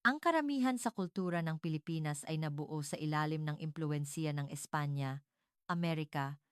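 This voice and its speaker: A woman reading scripted dramatic narration in a formal, measured storytelling manner. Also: low-pitched, clear, resonant tone, no room sound, studio quality.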